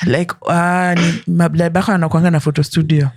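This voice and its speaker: A woman with a Kenyan accent hosting a casual podcast chat, animated and teasing, mixing English with Swahili. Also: fairly low pitch, brisk. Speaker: podcast host.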